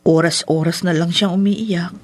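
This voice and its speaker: A woman's voice: fairly low pitch, fairly even intonation, moderate pace, soft background music, lightly acted. A woman playing a caring, respectful Filipino nanny in a radio drama. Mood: worried, deferential.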